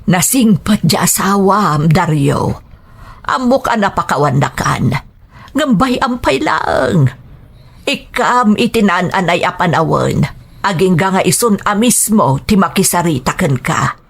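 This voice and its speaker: A woman playing a firm older mother who admonishes in measured tones, in an audio drama. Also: lowish voice, lively intonation, gravelly, breathy edge, full character voice. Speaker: voice actor. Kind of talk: Ilocano audio drama acting.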